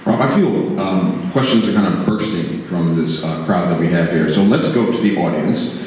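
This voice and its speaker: Low-voiced male moderator in echoey hall. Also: moderate pace, darkish tone. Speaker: moderator of an audience Q&A. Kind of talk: live event moderating. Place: lecture hall with an audience. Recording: echoey hall from far off, noisy.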